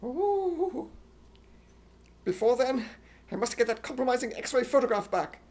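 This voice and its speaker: A man voicing an excitable, gullible, indignant comic hero in a loud, exclamatory, put-on British accent, in 1950s radio comedy style. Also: very high voice, measured pace, full character voice. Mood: indignant, panicked. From United States.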